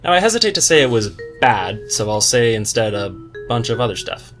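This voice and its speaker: A man's voice: medium pitch, very animated, a little fast, fairly bright tone, faint music behind. A man giving a scripted book review commentary in a wry, tongue-in-cheek, slangy way. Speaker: book reviewer, video host. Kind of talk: scripted video essay commentary with slangy asides.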